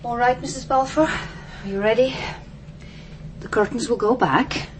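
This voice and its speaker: An actress playing a tactful, earnest policewoman in a radio drama, speaking gently and carefully. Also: fairly high pitch, unhurried, background noise, close to an everyday voice. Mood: gentle, careful. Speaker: actor in a crime drama. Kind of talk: naturalistic radio drama acting.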